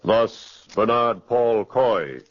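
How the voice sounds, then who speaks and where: A man's voice: lowish voice, animated, drawn-out words, no room sound. An American man narrating a 1940s radio crime drama in a grave, formal, declamatory way. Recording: muffled, dry 1940s radio recording.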